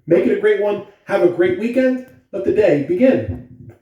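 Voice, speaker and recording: A man's voice: sits high, big pitch swings, moderate pace, lo-fi sound. A man speaking simply and directly to children, as in school morning announcements and story read-alouds. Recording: echoey room, distant mic, faint noise.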